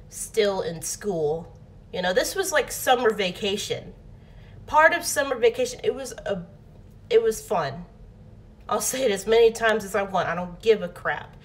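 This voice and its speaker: A young adult woman telling a casual storytime in her bedroom, rambling with many restarts and fillers. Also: medium pitch. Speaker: vlogger. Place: bedroom at home. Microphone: phone or webcam, close framing.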